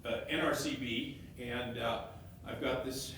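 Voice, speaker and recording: A man with a loud voice presents slides to a meeting, stumbling and restarting phrases as he goes. He is heard from some way off in a very echoey room, with a faint rumble underneath. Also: steady tone, distant mic. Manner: halting, repeats words and restarts phrases. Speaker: elected county councillor. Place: meeting room, stepping back from a fixed mic.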